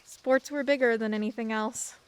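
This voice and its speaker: A young woman voices a bookish teenage girl who talks in big words and mocks herself dryly. Her voice sits a little high, and she often hesitates. This is a radio play. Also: fairly even intonation, moderate pace, clear, resonant tone, natural voice. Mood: wry. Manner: hesitant, wordy, dry self-mocking. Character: shy bookish teenage girl, wry and self-deprecating.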